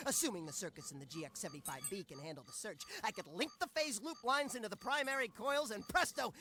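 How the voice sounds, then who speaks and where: A man's voice: high voice, bright, forceful tone, exaggerated voice. An actor voicing a fretful male tech genius in a video game, talking in nervous technical rambles. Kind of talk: animated video game cutscene acting.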